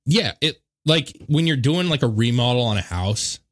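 A man's voice: mid-range voice, animated, moderate pace. A man hosting a podcast in loose, laid-back banter, swearing freely and chuckling between remarks. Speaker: podcast host.

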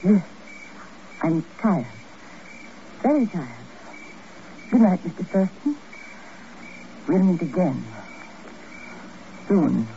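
An actress playing an overwrought, defiant and glamorous screen star, theatrical and impassioned, in a 1950s radio melodrama. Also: female voice, lowish voice, very animated, takes it slowly, creaky, pauses often, mellow, a little hiss or hum, exaggerated voice. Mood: strained, weary. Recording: muffled vintage 1950s radio recording.

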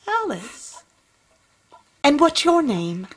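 A woman's voice: sits high, very animated, very slow, full character voice. An actress playing an anxious, protective wife and mother in a radio drama, questioning with worried insistence. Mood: wary, insistent.

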